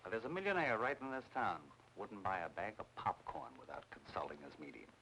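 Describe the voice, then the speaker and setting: An actor playing a seasoned, cynical private detective, wry and matter-of-fact in a mid-century noir manner. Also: male voice, fairly low pitch, very animated, a little fast, bright, forceful tone, slight background noise, full character voice, low-quality recording.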